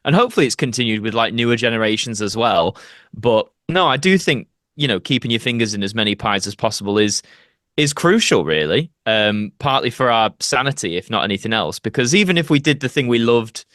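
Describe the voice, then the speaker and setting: A man's voice: medium pitch, lively intonation, fairly quick, fairly bright tone, no room sound, clean studio sound. A young British man chatting casually as an interview guest, reflective and anecdotal. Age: young adult. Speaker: podcast guest, actor and YouTuber.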